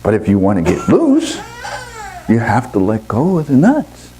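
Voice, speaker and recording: A performer voicing a wise male owl in a storytelling session, calm, measured and reasonable, gently giving advice. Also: medium pitch, poor audio. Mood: calm, patient.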